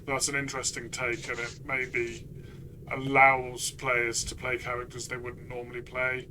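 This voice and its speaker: A man discussing a game conversationally as a player and reviewer, reflective and pausing mid-phrase. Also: mid-range voice, monotone, bright, forceful tone, a little hiss or hum, low-quality recording.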